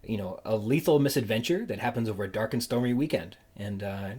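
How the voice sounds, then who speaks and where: A man hosting a casual podcast interview, talking in a halting, conversational way. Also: on the low side, darkish tone, breathy edge. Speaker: podcast host.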